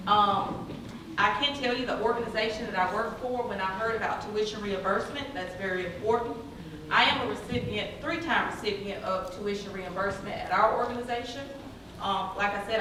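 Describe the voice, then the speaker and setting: A Southern American nurse in her forties or older tells her career story on a panel, with many ums, earnest and proud. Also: mid-range voice. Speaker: nurse speaking on an employer panel. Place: large conference hall. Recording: echoey hall, mic far off, noise.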